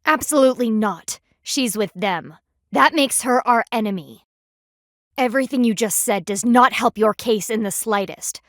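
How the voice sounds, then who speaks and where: A proud, stern panda guardian from a video game. Her voice sits fairly high and is recorded dry and close. She speaks formally and haughtily, clipping her words like a military commander. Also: moderate pace, a bit bright, no room sound. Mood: stern, businesslike.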